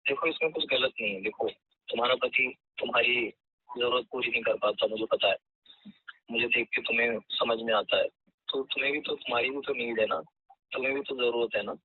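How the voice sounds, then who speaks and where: A man plays a lecherous, insistent landlord in a phone call drama. His mid-pitched voice stays fairly monotone as he leans on the tenant. The line is narrow and dry, like a telephone recording. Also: brisk, husky, dry recording, lightly acted. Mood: coaxing, insinuating. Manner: insistent, hectoring then persuasive. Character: pushy lecherous male landlord, irritated then coaxing.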